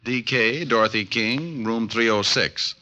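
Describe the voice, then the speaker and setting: An actor playing a wisecracking tough private eye in a 1940s radio drama, narrating and sparring dryly. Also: male voice, medium pitch, a little slow, bright, forceful tone. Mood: wry. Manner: dry wisecracking narration and dialogue. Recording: dull old radio recording with music.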